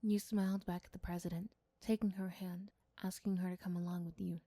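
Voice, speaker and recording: A soft-spoken woman giving scripted second-person story narration in an ASMR roleplay style. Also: fairly low pitch, level tone, a little fast, no room sound. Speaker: storyteller and voice performer.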